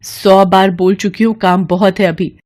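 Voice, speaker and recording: A woman's voice: mid-range voice, level tone, unhurried, a little mellow, natural voice. A woman voicing a long-suffering but defiant village wife and mother in a melodramatic audio story, plaintive and aggrieved. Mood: indignant.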